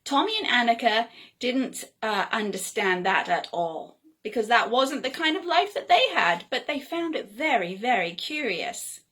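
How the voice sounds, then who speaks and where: A woman reading a children's story aloud in an expressive, whimsical way, with chatty, playful asides. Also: on the high side, a little slow, slightly rough. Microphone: phone.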